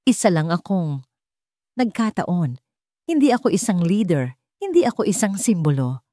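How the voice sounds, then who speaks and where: A woman's voice: on the low side, very animated, moderate pace, warm tone, dry recording, studio quality. A woman with a Filipino accent voicing an anxious, self-doubting young wife in an audio story, protesting fearfully.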